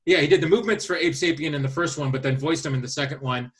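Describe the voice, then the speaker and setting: A man co-hosting a podcast, talking casually and haltingly as he thinks his way through his picks aloud. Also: fairly high pitch, fairly bright tone. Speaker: podcast host. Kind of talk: casual chat, presenting a list of casting picks.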